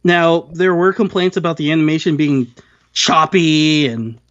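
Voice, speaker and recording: A man's voice: fairly high pitch, fairly even intonation, unhurried, fairly bright tone, no echo, lo-fi sound. A man co-hosting a podcast, giving a casual, opinionated film review and slipping into acted-out quotes. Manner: acts out imagined quotes while talking. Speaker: podcast co-host reviewing films.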